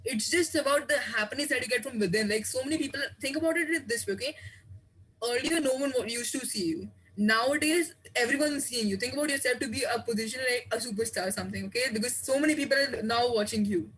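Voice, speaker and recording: A man's voice: very high-pitched, quick pace, fairly bright tone, poor audio. A teenage boy whose first language is Hindi, speaking English on a video call with earnest encouragement and frequent restarts. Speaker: student. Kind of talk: sharing advice on a video call. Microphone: headset on video call.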